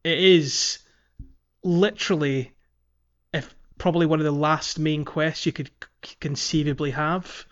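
Male co-host, slightly high voice, hesitant self-correcting delivery, casual chat, muffled recording. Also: steady tone, measured pace, fairly bright tone. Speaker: podcast co-host. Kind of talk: casual video game discussion. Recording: muffled lo-fi audio.

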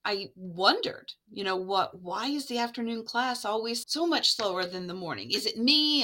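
A woman's voice: on the high side, sharp tone. A woman guest on a podcast, an education program administrator, telling stories from her classroom years in an earnest, reflective way. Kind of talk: conversational podcast storytelling.

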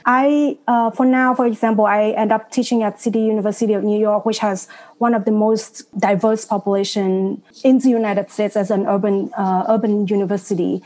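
A woman in her thirties, a university teacher, talking on a panel in a reflective, halting way with frequent restarts. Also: mid-range voice, a little mellow, rough recording. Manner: hesitant, restarts often, reflective. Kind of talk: casual panel talk.

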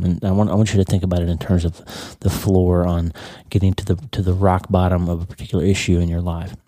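A congested man with a croaky, stuffy voice that sits low and sounds very dark and mellow. He talks in a casual, conversational way on his podcast, doubling back on words as he thinks, in a dry, close sound. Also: dry recording. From United States. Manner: warm conversational, repeats words as he goes. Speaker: podcast host. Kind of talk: casual motivational podcast talk.

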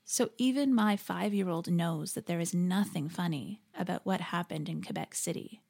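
A woman hosting a podcast, delivering a reflective scripted monologue in an earnest, measured, articulate way. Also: mid-range voice, creaky, a little mellow. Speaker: podcast host; interfaith leader.

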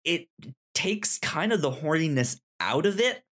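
Unhurried man, halting conversational delivery. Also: a little high, very animated, a bit bright. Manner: halting, repeats words while forming thoughts. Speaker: podcast co-host. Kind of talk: casual discussion of a manga.

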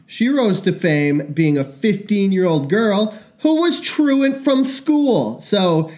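Young guy cohosting a podcast, kinda high voice, jokey and animated. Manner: animated, joking, quick to laugh. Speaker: podcast co-host. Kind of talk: casual chat.

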